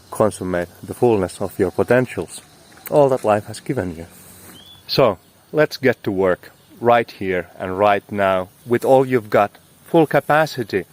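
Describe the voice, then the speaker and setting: A man's voice: fairly low pitch, a little mellow. A man delivering a motivational spiritual monologue as a teacher, speaking in an emphatic, poetic, exhorting way.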